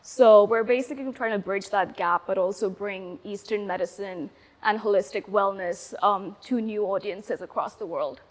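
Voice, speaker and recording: A woman's voice: medium pitch, normal speed. Woman guest in a reverberant hall. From Sri Lanka. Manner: relaxed, chatty, many fillers. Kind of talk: casual interview.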